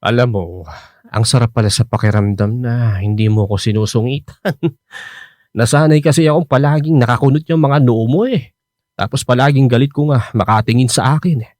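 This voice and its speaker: A man's voice: medium pitch, normal speed, a little mellow, no echo, clean studio sound, close to an everyday voice. An actor playing an older, playful Filipino womanizer who is earnestly courting, in a hesitant, coaxing radio drama style. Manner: hesitant, coaxing, peppered with interjections.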